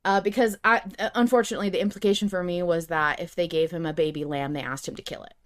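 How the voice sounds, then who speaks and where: A woman's voice: fairly low pitch, steady tone, quick pace. A woman hosting a podcast in casual fan chat, with an effusive way of talking. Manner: effusive, gushing. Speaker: podcast host.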